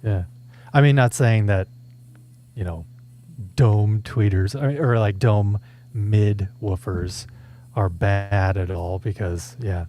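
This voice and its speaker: A man's voice: on the low side, mellow. A man interviewing in a casual chat, with tentative, conversational phrasing as a product reviewer.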